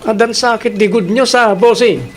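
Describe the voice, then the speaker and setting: Radio drama husband, Filipino accent, male actor as a playful, eager young employee, very high-pitched, slight background noise. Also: fairly even intonation, normal speed, natural voice. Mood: cheerful, deferential.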